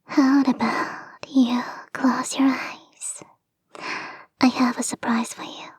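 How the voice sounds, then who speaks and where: Breathy, whispering, clingy fox-spirit girlfriend, acted by a woman. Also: high voice, dark tone, dry recording, heavily acted. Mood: playful, coaxing. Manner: coy, doting, playfully teasing. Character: clingy, affectionate, teasing young female fox spirit girlfriend. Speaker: voice actor in a roleplay. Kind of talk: ASMR roleplay, intimate and whispered.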